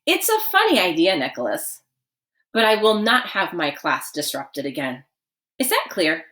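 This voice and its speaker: A woman voicing a stern, formal female language arts teacher in a storybook, speaking in measured phrases. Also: on the low side, huge pitch swings, a bit bright, full character voice. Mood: stern, warning.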